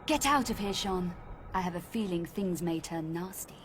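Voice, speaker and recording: A woman playing a tough, self-assured adventurer in a game, with a British accent and a brisk, dry, commanding manner. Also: lowish voice, expressive, a little hiss or hum, lightly acted. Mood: wry, guarded. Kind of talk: game cutscene acting. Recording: music behind the voice.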